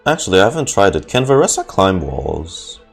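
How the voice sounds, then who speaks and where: A man with a low voice gives casual gameplay commentary as a streamer. He is playful and profane, with faint game music behind him. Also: sing-song, brisk, creaky, husky. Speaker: gaming streamer. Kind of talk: casual gameplay commentary and reading dialogue aloud.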